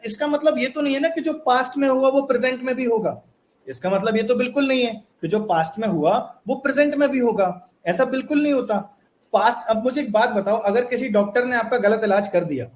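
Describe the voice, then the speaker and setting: Man, young adult, high-pitched voice, earnest motivational lesson, repeats points, rhetorical questions. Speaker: online course instructor.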